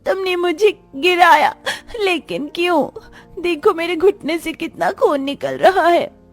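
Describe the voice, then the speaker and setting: A sweet young schoolgirl played by a woman from a cartoon moral story speaks in a very high voice. She is earnest, polite and childlike, with music playing behind her. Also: unhurried. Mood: hurt, tearful. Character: sweet, earnest poor schoolgirl, patient and easily hurt. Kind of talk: Hindi animated moral story dialogue.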